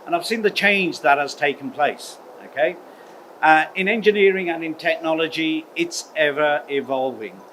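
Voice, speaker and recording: A middle-aged man from the UK, a university head of engineering, giving a measured formal address to students. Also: fairly high pitch, fairly bright tone, slight background noise. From United Kingdom. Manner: measured, uses "okay?" as a check-in.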